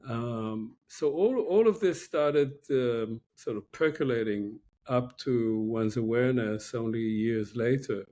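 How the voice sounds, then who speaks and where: Man in his sixties, from Israel, mellow tone, measured and thoughtful, video call from home. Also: mid-range voice. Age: 60s. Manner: measured, thoughtful, many pauses and "uh"s. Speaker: guest professor. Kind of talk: reflective interview answers. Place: home room indoors.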